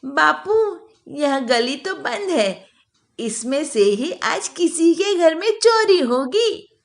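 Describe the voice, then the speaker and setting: Woman voicing an eager, high-pitched little girl. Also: sing-song, slow, heavily acted. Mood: earnest, urgent. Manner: earnest, pleading, explaining eagerly. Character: smart, eager little girl detective, earnest and insistent.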